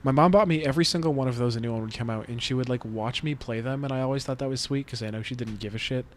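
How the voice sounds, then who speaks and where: A man in his mid-twenties talks through a casual podcast conversation. He rambles easily, filling gaps with ums and likes. His voice sits at an ordinary middle pitch, and his jokes run crude. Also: racing, a little hiss or hum. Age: 20s. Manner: laid-back, filler-heavy, crude joking. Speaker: podcast co-host. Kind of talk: casual chat.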